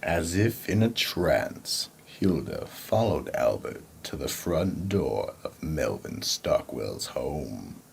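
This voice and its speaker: Male mystery narrator, extremely low and gravelly, suspenseful delivery, slight hiss of noise behind. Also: sing-song, takes it slowly, few pauses. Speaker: narrator and announcer. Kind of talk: dramatic scripted radio mystery narration.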